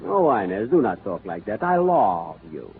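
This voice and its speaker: Smug, hammy crook lord; wildly swooping pitch; tinny old radio with crowd and noise. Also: male voice, very animated, moderate pace. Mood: smooth. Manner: mock-suave and hammy, grand romantic delivery. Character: vain smooth-talking male crook, master of the Casbah. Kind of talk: 1940s radio comedy acting.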